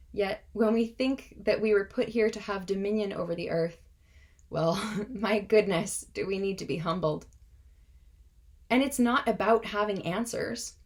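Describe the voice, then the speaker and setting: Young woman in her 20s, russian first language, giving an earnest prepared speech, measured and passionate. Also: mid-range voice. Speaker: speaker.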